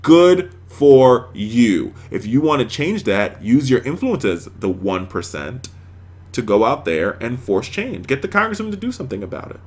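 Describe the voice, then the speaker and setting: An American man hosting a political talk show, giving emphatic, sarcastic commentary on the news to his audience. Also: mid-range voice.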